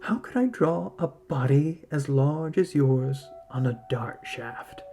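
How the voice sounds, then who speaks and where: A man's voice: medium pitch, slow, warm tone, soft background music. A man voicing a wily, reluctant male arctic hunter in a story, who reasons and bargains step by step. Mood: persuasive. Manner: argues step by step, rhetorical questions.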